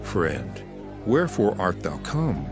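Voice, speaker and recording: An actor playing a solemn, sorrowful holy teacher with authority, speaking in measured declamation in a scripture audio drama. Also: male voice, low-pitched, wildly swooping pitch, exaggerated voice. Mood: grave resignation. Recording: background music under the voice.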